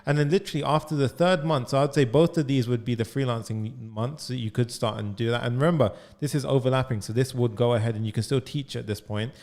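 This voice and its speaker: Young male coding coach, energetic, studio mic, chatty. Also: mid-range voice. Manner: energetic, fast, full of "like" and "right?". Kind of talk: live coaching call. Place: home studio room. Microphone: studio mic on boom arm, headphones.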